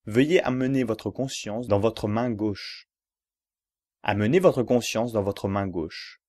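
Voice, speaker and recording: A man giving guided yoga relaxation instructions in a measured, repetitive, step-by-step manner. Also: lowish voice, darkish tone, no room sound, studio quality.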